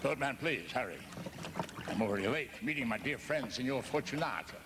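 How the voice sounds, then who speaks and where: An actor playing a bitter, scheming Venetian nobleman in an audio drama, theatrical, brooding and slyly flattering. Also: medium pitch, fairly quick, very noisy, lightly acted. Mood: slyly sardonic. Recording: loud music under the voice.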